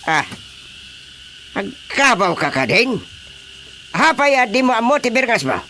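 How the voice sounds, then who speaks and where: Blustering comic king, bright voice. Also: medium pitch, big pitch swings, unhurried, slight background noise. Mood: exasperated scolding. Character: pompous, easily exasperated comic king. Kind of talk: Ilocano comedy radio drama acting.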